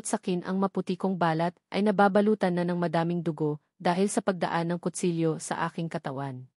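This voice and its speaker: A woman's voice: medium pitch, a little mellow, no echo, studio quality. A woman reading a scripted novel aloud as a first-person story narrator. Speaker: audiobook narrator.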